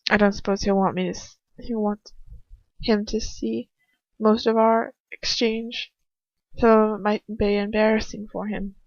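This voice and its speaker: A woman's voice: mid-range voice, little pitch movement, a little slow, darkish tone, dry recording. Emotive woman narrating, dull lo-fi sound. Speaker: amateur fan fiction reader. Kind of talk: dramatic first-person story narration. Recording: dull lo-fi home recording.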